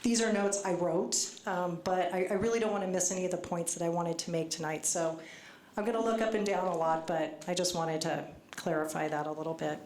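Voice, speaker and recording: A soft-spoken woman presents at a public meeting, sounding a little nervous as she reads from her notes. Her voice sits low for a woman. It rings around an echoey meeting hall, with a faint hiss of noise underneath. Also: fairly even intonation, brisk. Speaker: state agency official presenting. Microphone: handheld microphone.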